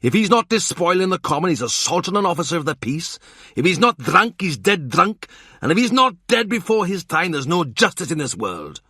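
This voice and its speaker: A man voicing an excitable, self-important man who rants with heated indignation. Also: sits high, fairly quick, husky, no echo, studio quality, voice pushed up, heavily acted. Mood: ranting.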